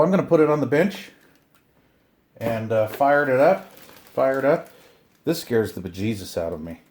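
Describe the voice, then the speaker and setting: A middle-aged or older man chatting casually to viewers while unboxing, excited and rambling, often talking to himself. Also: medium pitch. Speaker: hobbyist video host. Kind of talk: casual unboxing chat to camera.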